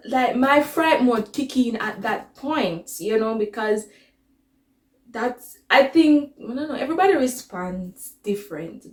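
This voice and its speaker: A woman's voice: high voice, reverberant. A woman with a Jamaican accent telling a personal story in a casual, dramatic way, slipping into patois. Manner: dramatic retelling that slips into patois.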